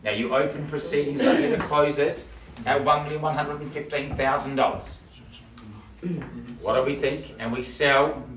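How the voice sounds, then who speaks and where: A middle-aged New auctioneer works a house sale with quick jokes and repeated bid figures. His voice sits at an ordinary pitch for a man. He is heard from across an echoey living room. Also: steady tone, background noise. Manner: joking, rapid patter, repeating bids. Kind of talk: live property auction calling. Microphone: camera across the room. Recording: roomy, distant camera audio.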